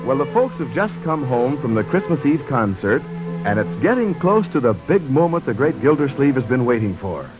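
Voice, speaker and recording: An American man announcing in 1940s radio style, delivering a scripted sales pitch in a polished, persuasive manner. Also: sing-song, moderate pace, over music, rough recording.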